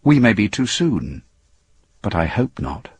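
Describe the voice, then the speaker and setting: Man acting confident explorer-scientist, male, husky, explanatory, impatient, dry sound, audiobook character. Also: fairly low pitch, very animated, measured pace, no room sound, exaggerated voice. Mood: assured, explanatory. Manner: assured, explanatory.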